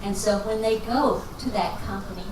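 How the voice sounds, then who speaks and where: A woman from Texas speaks as a guest finance professor at an evening lecture, folksy and quick to laugh at herself. Her voice comes from far off in a reverberant hall, with steady noise under it. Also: mid-range voice. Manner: folksy and self-deprecating, jokes and laughs as she talks. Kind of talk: answering questions at a lecture.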